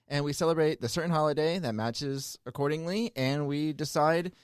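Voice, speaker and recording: A man's voice: fairly high pitch, moderate pace, smooth, dry recording, studio quality. A man co-hosting a podcast in casual comic chat, jokey and teasing, often breaking into laughter. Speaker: podcast co-host.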